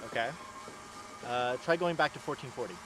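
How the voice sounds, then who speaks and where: Guy narrating an experiment outside, casual n halting, mid pitch, some noise behind him. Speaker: presenter and drone operator of a radio experiment. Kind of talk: casual demonstration, narrating live. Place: outdoors. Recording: outdoor recording with clear background noise.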